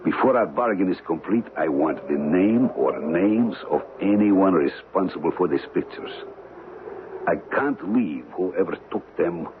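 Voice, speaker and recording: A man playing a cold, conscienceless criminal in a radio drama, speaking calmly and precisely with formal menace. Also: low-pitched, unhurried. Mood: cold, calculating. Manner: calm, formal, precise, threatening. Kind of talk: old-time radio drama acting. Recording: noisy muffled old radio broadcast.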